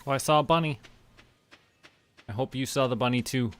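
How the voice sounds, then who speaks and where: A man doing relaxed, casual game commentary, thinking aloud and muttering to himself in an unhurried way. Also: medium pitch. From Canada. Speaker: game streamer.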